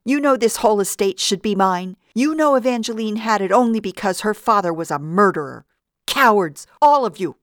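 A woman narrator voicing Vida, a vengeful murderous woman who shouts and shrieks. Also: expressive, moderate pace, no echo, studio quality, natural voice. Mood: furious. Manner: shrill, furious, shouting.

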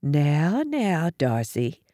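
Woman voicing a quiet, soothing older mother. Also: fairly low pitch, very animated, a little slow, gravelly, no echo, clean studio sound, exaggerated voice. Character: calm, kindly older mother, soothing and hospitable.

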